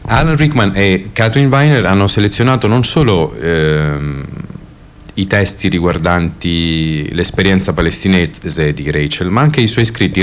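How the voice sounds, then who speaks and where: A man introducing radio theatre excerpts as a director, speaking haltingly, searching for words and repeating himself. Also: sits low. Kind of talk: spoken introduction to a radio play.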